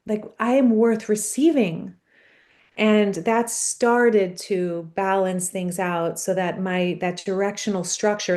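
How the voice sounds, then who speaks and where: A woman's voice: medium pitch, a little mellow. A woman coach hosting a live online workshop on a video call, speaking earnestly and encouraging her guest.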